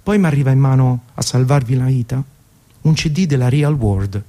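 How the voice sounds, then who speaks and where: A man's voice: medium pitch, moderate pace. A man, reading a scripted music documentary monologue in a theatrical, storytelling way with colloquial asides. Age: 30s. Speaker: radio presenter. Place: radio broadcast.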